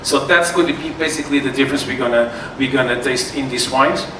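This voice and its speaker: Brief: Portuguese-accented man presenting a seminar, halting delivery full of restarts, heard from a distance in a roomy hall with background noise. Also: medium pitch. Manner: halting, many fillers and restarts. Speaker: sommelier giving a wine seminar. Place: event hall with tasting tables. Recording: echoey room, far from mic, noisy.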